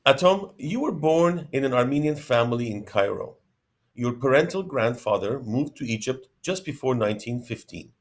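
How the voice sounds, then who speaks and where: A man's voice: medium pitch, expressive, normal speed. A man hosting an interview, reading formal, prepared introductions and questions. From Canada. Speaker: host.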